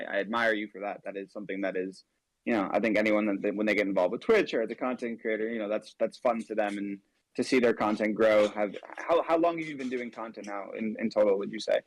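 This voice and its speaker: A man's voice: on the low side, no echo, poor audio. A man hosting a casual interview, friendly and rambling with many fillers. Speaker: interview host.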